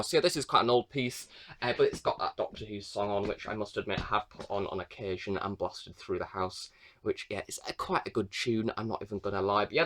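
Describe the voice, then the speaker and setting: A man's voice: mid-range voice, a bit bright. A young British man talks informally to viewers as he presents a collection, lifting into each new item in a sing-song way and letting phrases trail off. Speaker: collector and reviewer presenting the vlog.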